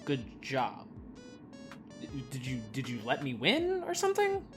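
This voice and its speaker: A woman's voice: a bass voice, huge pitch swings, measured pace, exaggerated voice. A woman voicing an eager, insecure, boyish young man in an audio drama, earnest and a little hesitant. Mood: excited, suspicious. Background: clear music.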